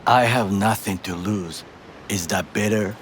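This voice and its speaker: An actor playing a grave, honourable corporate warrior in a video game, with a Japanese accent, a breathy hush and formal, deliberate phrasing. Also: male voice, fairly low pitch, noisy background, natural voice. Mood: resolute. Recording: music behind the dialogue.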